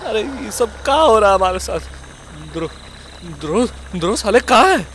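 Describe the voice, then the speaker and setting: A man's voice: high-pitched, very animated, moderate pace, fairly bright tone, slight background noise. A man playing a cowardly, foul-mouthed comic captive in a horror-comedy audio drama, stammering, whining and swearing. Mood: frightened, pained.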